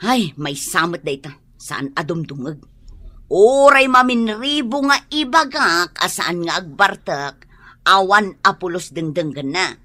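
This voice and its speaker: A woman's voice: on the low side, lively intonation, a little slow, bright, forceful tone, exaggerated voice. An actress playing a defensive, exasperated wife and mother in a radio drama.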